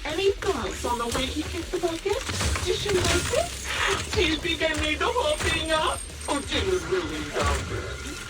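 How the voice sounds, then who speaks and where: A woman playing a taunting, sadistic female sniper villain in a video game, with theatrical, mocking, drawn-out delivery. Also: mid-range voice, very animated, far from the mic. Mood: gleeful cruelty. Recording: voice over loud game music.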